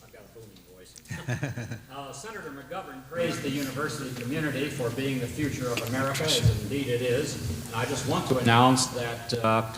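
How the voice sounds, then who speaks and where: A man's voice: mid-range voice, moderate pace, pauses often, over a noisy crowd. Hesitant man speaking from the floor. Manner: hesitant, with many uhs, earnest. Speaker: audience member commenting. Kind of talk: town hall comment from the floor. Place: large lecture hall. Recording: roomy hall with faint ambience, from the floor.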